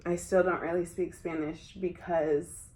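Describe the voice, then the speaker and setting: A woman's voice: fairly low pitch, little pitch movement, measured pace, dark tone. A young adult woman talking casually about her school years, often using "like". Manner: conversational, frequent filler "like". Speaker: interviewee. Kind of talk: casual conversation. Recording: echoey room.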